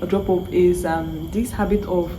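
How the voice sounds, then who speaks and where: A woman's voice: fairly low pitch, steady tone, unhurried. A woman with a Nigerian accent, co-hosting a casual chat; she is chatty and agreeable. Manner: chatty, agreeing, acts out little quotes. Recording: distant mic, noisy background.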